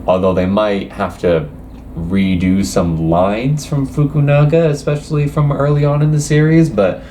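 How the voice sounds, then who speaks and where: A man's voice: mid-range voice, flat delivery, normal speed, dark tone, slight background noise. A young man casually reacting to anime news, reading quotes aloud and rambling with lots of hesitations.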